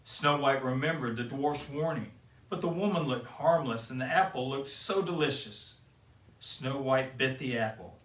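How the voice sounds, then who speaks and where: A man reading a children's picture book aloud in a gentle storytelling way. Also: medium pitch. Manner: slow, gentle read-aloud. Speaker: storyteller for kids. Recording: roomy, mic at a distance.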